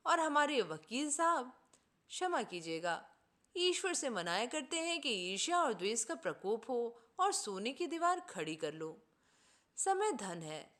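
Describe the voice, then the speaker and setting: Woman reading as an earnest, animated idealist man. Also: on the high side. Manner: earnest, oratorical, formal. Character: idealistic, indignant man preaching justice and selfless service.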